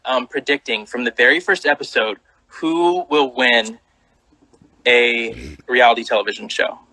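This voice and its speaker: A man's voice: medium pitch, unhurried, poor audio. A man interviewing a guest in casual chat, hesitant with many fillers. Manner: lively, hesitant with many likes and ums.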